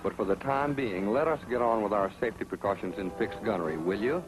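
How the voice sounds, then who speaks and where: A man's voice: on the low side, quick pace, music in the background, low-quality recording. A man narrating a military training film with a stern, scolding, instructive manner. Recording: old film soundtrack with hiss.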